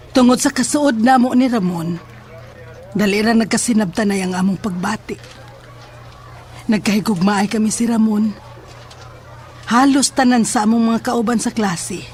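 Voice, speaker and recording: A woman's voice: on the high side, a little mellow, close to an everyday voice. An actress playing a sincere, trusting young woman in a horror audio drama about a family curse. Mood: reflective.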